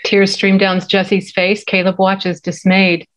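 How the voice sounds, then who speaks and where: A woman's voice: medium pitch, monotone, slow, poor audio. Middle-aged woman narrating a screenplay. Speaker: stage directions reader. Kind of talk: scripted narration. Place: home office, video call.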